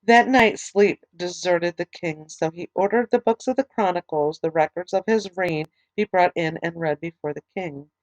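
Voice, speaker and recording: A middle-aged woman teaching a Bible study, reading scripture aloud in a plain, steady way, her voice tired and fading late at night. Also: fairly low pitch, no echo, rough recording. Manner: plain, steady reading with small halting restarts.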